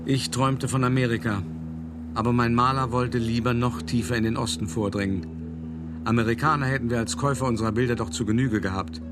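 An actor playing an urbane, self-satisfied art dealer, telling his story in a measured, articulate radio play monologue. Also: mid-range voice, steady tone, background noise, close to an everyday voice. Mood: reminiscing, wry.

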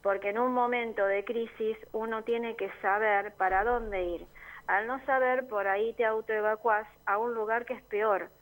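A woman's voice: on the high side, steady tone, moderate pace, rough recording. An Argentine woman, a neighborhood assembly member, explaining her group's work earnestly in a radio interview. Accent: Argentine Spanish. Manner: earnest, explanatory, with frequent fillers. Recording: community radio studio.

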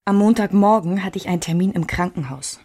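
An actress playing a reflective, wounded woman who recalls her married life in measured, intimate first-person radio drama narration. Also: on the low side, a little mellow, breathy edge, no room sound, studio quality.

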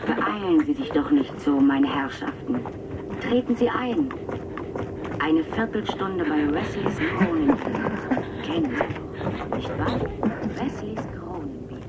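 A woman playing an unhurried, formal street barker coaxing people inside in a radio drama, in a muffled, very noisy recording. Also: fairly low pitch, sing-song, full character voice.

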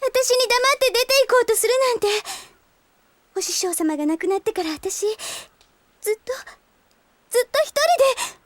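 A spirited young girl, with an extremely high voice and an expressive, girlish delivery straight out of anime radio drama. The sound is dry and close. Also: normal speed, long pauses, dry recording. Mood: tearful, pleading. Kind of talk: Japanese anime-style audio drama acting.

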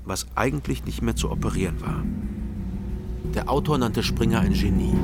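An actor playing a young male investigator who narrates in a calm, dry, understated way in a radio crime drama. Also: on the low side, moderate pace, over loud music, close to an everyday voice. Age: young adult. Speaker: narrator and detective.

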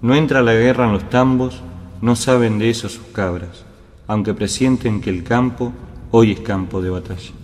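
A man's voice: medium pitch, steady tone, moderate pace, darkish tone, close to an everyday voice. A man reciting poetic narration for a radio drama in a lyrical, cadenced way. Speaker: verse narrator. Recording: long hall reverb.

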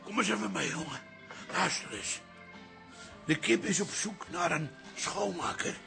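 A man's voice: sits high, lively intonation, a little slow, vocal fry, music in the background, heavily acted. An actor in a radio comedy playing a grumpy, scheming older father, blunt and bossy. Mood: wheedling, bossy. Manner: blunt, wheedling, calls people boy. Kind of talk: radio comedy drama acting.

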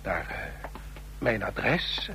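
A frail-seeming, wily old man in a radio play, halting and stammering. His low voice swings widely in pitch. The old recording is clearly noisy. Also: big pitch swings, bright, forceful tone, heavily acted. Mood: wary. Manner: halting, stammering, breathy gasps. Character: wily old double agent posing as a frail traveling salesman. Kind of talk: radio drama acting.

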